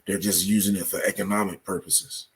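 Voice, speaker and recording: A man with a fairly low voice speaks as an interview guest. He talks conversationally, laying out explanations and examples as he answers. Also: flat delivery, a little fast. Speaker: podcast guest, article author.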